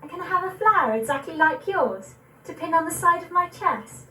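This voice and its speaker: A young woman of eighteen playing a curious, innocent little granddaughter, asking questions in a measured verse recital. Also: extremely high pitch, animated, sharp tone, reverberant, far from the mic, full character voice. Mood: pleading. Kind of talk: dramatic poetry recital.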